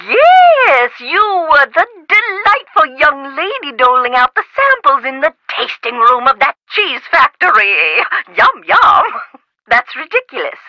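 A woman's voice: high voice, bright, forceful tone, no echo, heavily acted, poor audio. An actress playing an eccentric, haughty, impatient woman scholar in a video game, theatrical and precise, heard through a telephone. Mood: airy, delighted.

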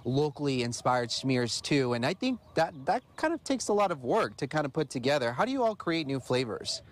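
A man's voice: medium pitch, sing-song, fairly quick. Enthusiastic male TV reporter outdoors. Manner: enthusiastic, complimentary, asks leading questions. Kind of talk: live TV interview. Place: outdoor park by the ocean. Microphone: handheld mic.

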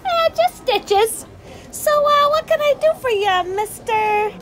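Very high, coy female arms dealer. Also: lively intonation, slow pace, over music, exaggerated voice. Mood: coy, curious. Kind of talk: audio drama acting.

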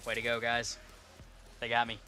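A man's voice: mid-range voice, completely flat delivery, moderate pace, sharp tone. A young man on a headset mic, casually commenting while he plays a game and jokingly mimicking its lines. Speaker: streamer. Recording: game music under voice. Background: game music.

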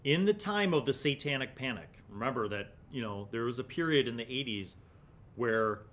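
A man's voice: animated, normal speed, a bit bright. A middle-aged man gives casual, conversational commentary as host of a gaming review series, thinking aloud. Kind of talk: casual commentary while leafing through a magazine, reading bits aloud. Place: small indoor home studio. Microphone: desk condenser microphone in front of him. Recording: clear low background rumble noise.

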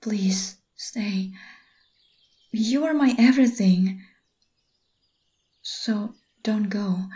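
A woman voicing a desperate, obsessive young boyfriend in a whispered ASMR roleplay, pleading and stammering in a soft, breathy whisper. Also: medium pitch, extremely slow, long pauses.

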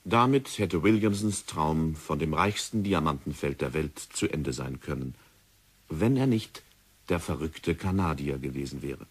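A man narrating a 1960s radio drama in a measured, dramatic storytelling manner. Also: extremely low pitch, lively intonation, husky.

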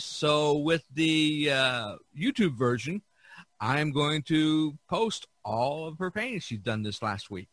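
A man's voice: a little high, pushed and bright, dry recording. A man hosting a podcast in a casual, rambling, folksy way. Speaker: podcast host. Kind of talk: casual chat.

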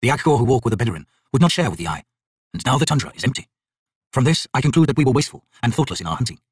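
Male reader as male shaman, storybook fantasy, thoughtful, grave, husky, expressive pitch. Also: racing, no echo, recorded from a distance. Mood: solemn, reflective.

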